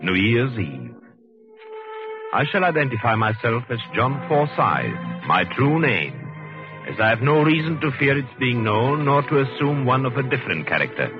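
A man's voice: mid-range voice, sing-song, normal speed, lightly acted. Male actor, pompous cold British intellectual, precise formal narration, 1940s radio drama, dull vintage sound, music underneath. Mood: pompous, detached. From Britain. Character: coldly pompous British genius, betrayed husband narrating his account.

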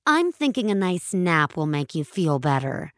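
A woman's voice: fairly low pitch, sing-song, normal speed, dry recording, studio quality. A woman voicing a young, falsely polite customer service agent in an audio drama. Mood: patronizing. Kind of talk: audio fiction acting.

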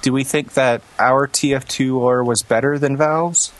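A man's voice: mid-range voice, steady tone, unhurried. A man hosting a podcast in casual, joking chat with a dry, sarcastic manner. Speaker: podcast host.

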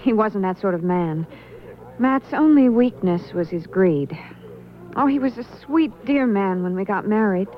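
An actress playing an earnest, wistful widow with a hesitant, emotive manner in a 1970s radio drama. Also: female voice, mid-range voice, animated, measured pace, close to an everyday voice. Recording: slightly muffled old radio recording.

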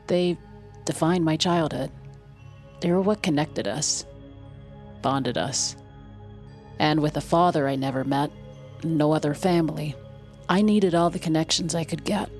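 An actress playing a grown daughter recalling her childhood in measured, wistful audio drama narration. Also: low voice, a little mellow, faint music behind. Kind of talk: scripted first-person audio drama narration.

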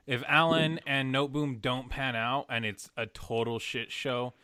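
Brief: male co-host, bright tense tone, rambling questions, dry mic. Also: medium pitch, flat delivery, measured pace, clean tone, no room sound. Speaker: podcast co-host. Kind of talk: casual sports podcast chat.

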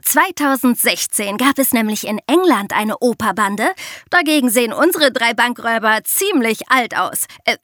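A woman's voice: on the high side, lively intonation, normal speed, fairly bright tone, no room sound, studio quality. A woman co-hosting a podcast, telling stories in a casual, conversational style. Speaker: podcast host.

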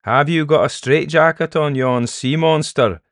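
Man as a cheeky little peddler, higher-pitched. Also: unhurried, no echo, studio quality. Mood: cheeky, teasing. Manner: jaunty, taunting. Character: little Scottish peddler man, cheeky, teasing, grinning sceptic. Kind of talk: storybook character voice in a narrated tale.

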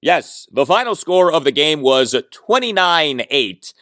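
A man's voice: a little high, very animated, measured pace, fairly bright tone, no room sound, clean studio sound. A man hosting a solo sports podcast, talking emphatically with frequent fillers while recovering from a lost voice.

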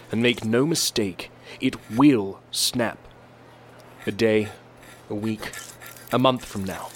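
An actor plays a grave statesman addressing the public in an audio drama. He speaks at a middle pitch with solemn, impassioned oratory, over faint background noise. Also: natural voice. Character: grave, impassioned British prime minister. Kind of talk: political speech in audio drama.

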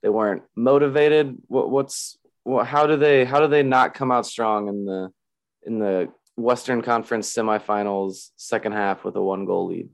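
A man hosting a casual sports chat, his voice raspy and hoarse, rambling and restarting as he talks. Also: fairly low pitch, normal speed. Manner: rambling, self-interrupting, laughs often. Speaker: podcast host.

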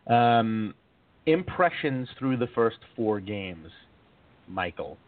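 A man's voice: lowish voice, big pitch swings, takes it slowly. A man hosting a podcast, joking and self-mocking, his throat slightly sore. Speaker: podcast host. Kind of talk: casual podcast chat. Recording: dry, tinny phone-like sound, a bit distant.

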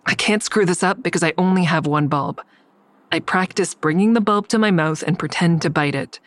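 A woman's voice: on the low side, normal speed, no echo, natural voice. An actress playing a guarded, defiant woman in an audio drama, narrating and speaking in a terse, dry, confiding way. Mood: tense, confiding. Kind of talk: first-person audio drama narration and dialogue. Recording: faint music under the voice.

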